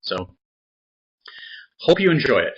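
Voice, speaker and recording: A man's voice: medium pitch, sing-song, slow, husky, lo-fi sound. A man co-hosting a casual podcast in a laid-back way, laughing often and giving short replies. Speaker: podcast co-host. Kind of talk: casual chat.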